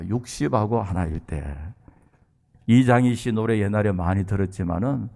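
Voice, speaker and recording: A man's voice: medium pitch, a little mellow. A man in his early sixties from South Korea delivering a sermon as a pastor, earnest and emphatic, addressing his listeners directly. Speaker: pastor preaching to a congregation.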